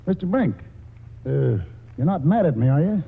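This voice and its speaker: A man's voice: a little high, big pitch swings, moderate pace, vocal fry, breathy edge, heavily acted. An actor playing a stubborn, crafty old grandfather with folksy speech in a 1940s radio comedy. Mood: stubborn, feisty. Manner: folksy, colloquial. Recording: hissy, muffled old radio broadcast in a hall.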